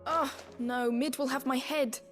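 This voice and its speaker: A woman's voice: high-pitched, steady tone, unhurried, faint music behind. A woman playing an earnest, courteous schoolteacher in a video game, speaking formally and precisely. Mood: apologetic. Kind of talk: video game dialogue acting.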